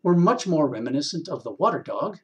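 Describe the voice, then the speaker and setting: A man reading a short story aloud in an expressive, wry storyteller's manner with deliberate pauses. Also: a little high, muffled recording. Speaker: story reader, actor.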